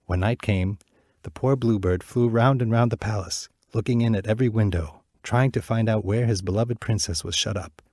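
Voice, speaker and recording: A man narrating a fairy tale in a measured, clear reading voice. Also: lowish voice, darkish tone, no echo, studio quality. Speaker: storyteller.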